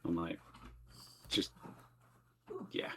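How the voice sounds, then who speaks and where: A man playing a tabletop game, explaining his character's thoughts in a thoughtful, halting way. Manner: flat, thoughtful, slightly halting. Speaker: player. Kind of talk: casual tabletop play.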